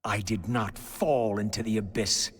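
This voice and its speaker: An actor playing a brooding undead antihero in a video game, formal and measured, with a husky voice. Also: male voice, lowish voice, fairly even intonation, dry recording. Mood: defiant. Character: brooding undead male antihero recounting his quest. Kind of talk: dramatic scripted game narration.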